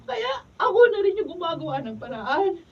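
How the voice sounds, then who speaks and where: A woman's voice: extremely high pitch, very animated, normal speed. A woman with a Filipino accent playing a long-suffering, outspoken pregnant wife in an emotional radio drama. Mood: bitter. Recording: dull, distant radio-studio behind-the-scenes recording.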